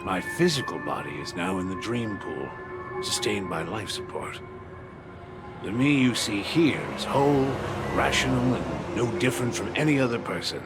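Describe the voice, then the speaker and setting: An actor playing a courteous, wistful old war survivor in a dramatic video game, speaking in a reflective, measured way. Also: male voice, fairly low pitch, animated. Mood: wistful acceptance. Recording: loud music under the voice.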